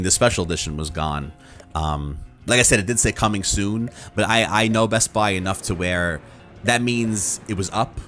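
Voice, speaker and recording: A man hosting a gaming channel, talking casually and off the cuff in a rambling, halting way with repeated words. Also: sits low, fairly bright tone. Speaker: YouTube gaming channel host. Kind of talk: casual, unscripted chat to viewers.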